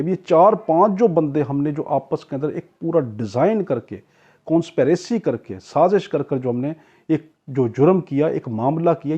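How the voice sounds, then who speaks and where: A man's voice: on the high side, mellow, slightly rough. A Pakistani man giving an explanatory law lecture, restating key points for his students. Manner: reads English legal phrases out slowly. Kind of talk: explanatory classroom lecture with examples. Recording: dull, muffled lo-fi lecture audio.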